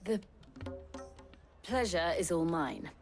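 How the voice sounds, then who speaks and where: A woman's voice: fairly low pitch, slow, faint music behind. A woman playing a proud, tough mercenary commander in a fantasy game, blunt and dry in delivery. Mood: cool, scornful. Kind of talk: dramatic video game acting.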